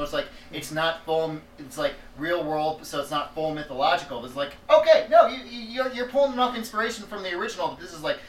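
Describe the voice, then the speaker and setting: A man chatting casually as a podcast co-host, excitable and stammering, repeating phrases as he searches for words. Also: fairly high pitch. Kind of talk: casual chat about films and games. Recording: roomy, distant mic, faint noise.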